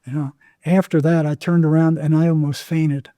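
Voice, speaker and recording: An older man speaks as a therapist being interviewed, recounting things conversationally. His voice sits a little high yet has a very mellow, muted tone. He halts and repeats words as he goes, and the recording is dry and close. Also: flat delivery, dry recording. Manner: halting, repeats words, acts out remembered exchanges. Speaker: psychotherapist guest.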